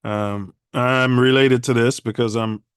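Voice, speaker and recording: Smooth-voiced man hosting a podcast. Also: medium pitch, fairly even intonation, measured pace, no echo, clean studio sound. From Chicago. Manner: loose, rambling, slangy delivery with many filler sounds. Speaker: podcast host. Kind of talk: casual livestream podcast chat.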